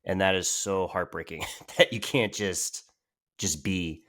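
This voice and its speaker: A man's voice: low-pitched, a little slow, a bit bright. A man hosting a podcast, responding to his guest in a reflective, thoughtful way. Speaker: podcast host. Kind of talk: casual podcast chat.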